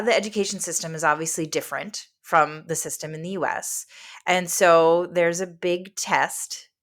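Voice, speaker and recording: A woman with a slightly low voice hosts an education podcast. She introduces her guest and asks questions in an enthusiastic, encouraging manner. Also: a bit bright. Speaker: podcast host. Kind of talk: interview hosting, scripted intro.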